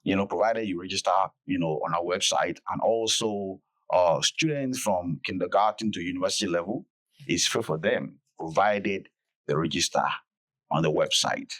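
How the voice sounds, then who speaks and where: A man explaining things phrase by phrase in a measured, conversational interview manner. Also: sits low, a bit bright. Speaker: podcast guest, festival director.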